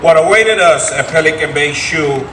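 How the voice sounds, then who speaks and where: Slightly high-voiced man, echoey dramatic reading. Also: normal speed, fairly bright tone, slight background noise. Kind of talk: dramatic reading of prisoners' writings. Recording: echoey distant recording with music.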